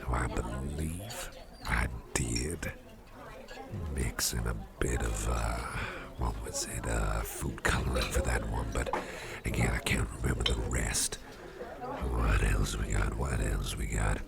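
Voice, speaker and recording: Man voicing a very deep, gravelly devil boss. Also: fairly even intonation, over loud music, full character voice. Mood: musing, genial. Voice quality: gravelly, fry-laden deep voice. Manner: unhurried, rambling, genial, with repeated phrases. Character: jovial old devil boss, chatty and impish. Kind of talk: ASMR roleplay, soft chat to listener. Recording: party crowd murmur behind voice. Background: party crowd chatter.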